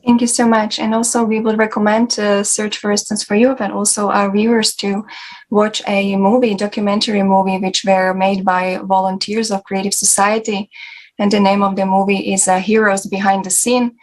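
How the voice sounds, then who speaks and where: A woman co-hosting a discussion and addressing viewers, unscripted, with hesitant, pausing phrasing. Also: medium pitch, little pitch movement, poor audio. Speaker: co-host.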